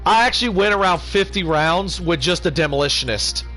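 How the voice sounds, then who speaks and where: A high-voiced man talks eagerly about a game, building up each story with teasing questions. His tone is casual and keen. Faint music plays under him. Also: expressive, a little fast, bright, forceful tone, rough recording. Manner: eager storyteller, keeps asking "you wanna know". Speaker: gamer chatting on a livestream. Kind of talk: casual chat.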